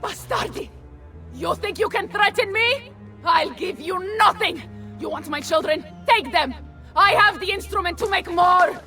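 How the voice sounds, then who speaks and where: A woman's voice: very high-pitched, unhurried, sharp tone, faint music behind, exaggerated voice. An actress playing a fierce, proud noblewoman ruler in a video game, speaking in a commanding way. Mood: defiant. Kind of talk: video game cutscene acting.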